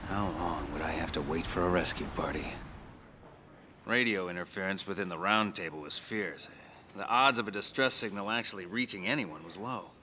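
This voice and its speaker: Bright-voiced, wry fighter pilot. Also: male voice, low voice, moderate pace, a little hiss or hum. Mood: recounting, tense. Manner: wry, conversational. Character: plucky, self-mocking former fighter pilot. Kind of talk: storytelling interview acting.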